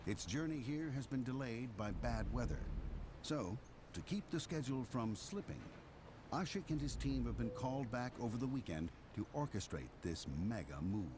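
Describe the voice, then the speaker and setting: A man reading scripted documentary narration in a measured, dramatic way. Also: mid-range voice, lively intonation, background noise. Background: background music.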